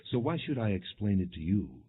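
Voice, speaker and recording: A man's voice: on the low side, very animated, moderate pace, breathy edge. A man voicing a bold, stubborn young strongman with a blunt manner, in a scripture reading on slightly muffled audio. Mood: defensive.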